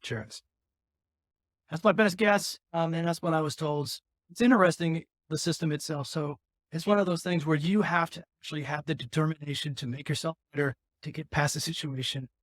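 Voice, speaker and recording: A man with a raspy voice hosting a podcast, talking candidly and earnestly about his own life, rambling with frequent restarts. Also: on the high side, fairly quick, dry recording, studio quality. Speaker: podcast host. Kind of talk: candid personal talk.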